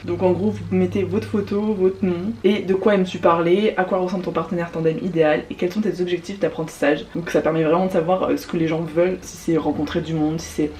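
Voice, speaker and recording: A woman's voice: lowish voice, steady tone, fast talker, hardly any pauses, warm tone, far from the mic, slight background noise. A young French woman chatting casually in a vlog, demonstrating apps, full of fillers, slang and asides. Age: young adult. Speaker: YouTuber recommending apps. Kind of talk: casual vlog chat with screen demo.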